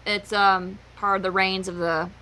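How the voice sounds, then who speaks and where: A woman's voice: medium pitch, flat delivery, a little slow, sharp tone, poor audio. A woman co-reading a story and chatting casually between lines. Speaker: guest co-reader. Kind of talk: casual chat.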